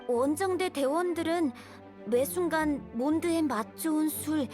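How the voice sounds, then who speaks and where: High-pitched, earnest young boy scout. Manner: polite, hesitant, earnest. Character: young, earnest, timid boy surveyor of a knight expedition. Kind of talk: scripted game dialogue, reading a letter aloud. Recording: music behind the voice. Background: music.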